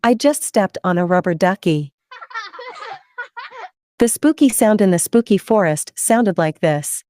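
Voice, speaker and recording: A woman's voice: medium pitch, animated, unhurried, mellow, no echo. A woman hosting story time for children, playful and chatty, putting questions to her young listeners.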